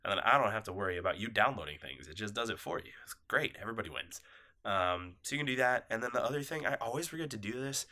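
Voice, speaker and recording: Podcast host, man, congested and nasal, loose apologetic chat, bright edge to the tone. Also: fairly low pitch, animated, fast talker. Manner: rambling, apologetic, loose and laid-back. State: nasal from a cold, coughing. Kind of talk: casual solo podcast chat. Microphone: phone set down nearby.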